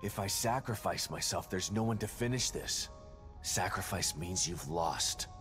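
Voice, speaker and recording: Very low, weary grim detective. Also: male voice, unhurried, a bit bright, soft background music. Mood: grim resolve. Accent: American. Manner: brooding, skeptical. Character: weary, grim, guarded male detective father. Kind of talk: video game cutscene acting.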